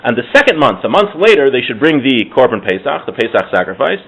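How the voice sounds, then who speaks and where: A man's voice: mid-range voice, very animated, quick pace, fairly bright tone, slightly rough, poor audio. A man giving an informal religious lesson in a conversational, explanatory way, as a teacher reading verses and translating them. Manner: conversational, explanatory, with rhetorical questions and self-corrections. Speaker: teacher giving a Torah class.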